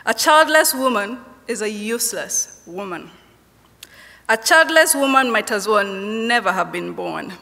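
A woman in her forties with a Kenyan accent giving a personal testimony in a university chapel, reading from notes in a measured, rhythmic way with repeated refrains. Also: mid-range voice, animated, vocal fry, sharp tone. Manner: slow, measured, rhythmic repetition. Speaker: chapel speaker, professor. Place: university chapel stage at a lectern. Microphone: lectern microphone. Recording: long chapel hall reverb.

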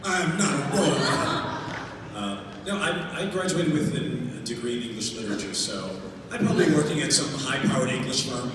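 A man's voice: medium pitch, animated, a little fast. A man with dry, self-mocking humour chatting as a voice actor on a panel, heard distantly in an echoing hall. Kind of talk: casual joking panel banter. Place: large convention hall, filmed from audience. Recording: distant echoey hall, loud noise.

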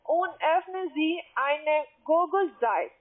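High-voiced foreign-accented woman on the phone. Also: lively intonation. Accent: foreign accent in German. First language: not German. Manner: halting, repetitive, broken grammar. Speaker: call center telemarketer. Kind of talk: scripted phone sales pitch. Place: call center, on the phone. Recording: muffled, tinny phone line.

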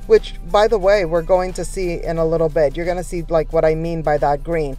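A woman's voice: mid-range voice, darkish tone, low-quality recording. A woman narrating a shopping vlog in a casual, enthusiastic, conversational way, talking straight to viewers. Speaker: shopping vlogger. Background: background music.

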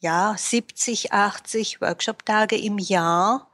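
A woman speaking as a guest in a podcast interview, speaking thoughtfully with frequent pauses and fillers. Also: medium pitch, a bit bright. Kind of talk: casual interview talk.

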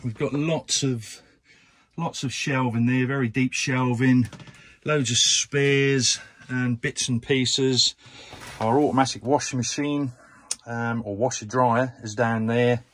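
A man's voice: medium pitch, steady tone, slow pace, fairly bright tone. A man in his fifties giving a rambling, casual tour of his narrowboat with plenty of ums, filmed on a handheld action camera in a small cabin. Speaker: vlogger.

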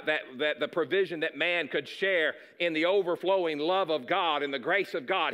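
A man's voice: high-pitched, pushed and bright, long reverb tail. A middle-aged man preaching a sermon, moving around a church stage, talking in a conversational way with repeated words and side remarks. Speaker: pastor. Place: large dark church stage.

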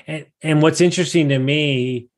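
A man's voice: a little high, fairly even intonation, moderate pace. A man hosting a casual interview, talking in a blunt, informal way and swearing freely. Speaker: podcast host, interviewer.

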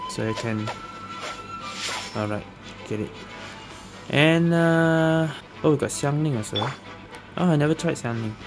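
A man's voice: fairly high pitch, measured pace, pauses often. A man giving casual gameplay commentary as a gaming host, dropping colloquial tags like lah and eh. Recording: game music behind the voice. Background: video game music.

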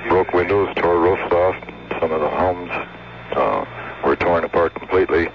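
Deep-voiced American policeman, muffled tape. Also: steady tone, moderate pace. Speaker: police captain. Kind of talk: unscripted eyewitness account. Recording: muffled, noisy interview tape.

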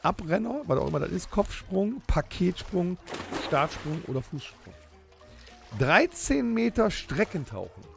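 Eager gravelly man reading out rules. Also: high voice, big pitch swings, a little slow, a bit bright, faint music behind. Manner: reads out rules with eager, insistent emphasis. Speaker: podcast co-host. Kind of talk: comedic improvised audio play.

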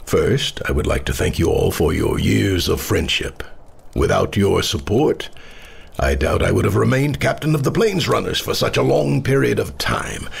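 An actor playing an aging, courteous captain in a fantasy audio drama, with a gravelly, husky voice and a measured, formal manner. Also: male voice, low voice, expressive, heavily acted. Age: older. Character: aging, courteous captain and swordsman, weary but dignified.

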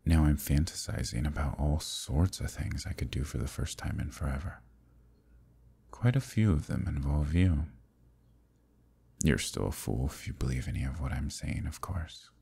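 A man playing an ancient, imprisoned eldritch villain in an ASMR roleplay, seductive and lonely, with a deep, breathy voice and an intimate, teasing manner. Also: moderate pace, mellow, exaggerated voice. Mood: tempted, sly. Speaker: voice actor.

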